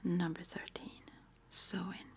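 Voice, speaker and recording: A woman whispering in a breathy, mostly unvoiced ASMR style, reading out short affirmations slowly and deliberately with pauses. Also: fairly low pitch, flat delivery. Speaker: ASMR roleplay host.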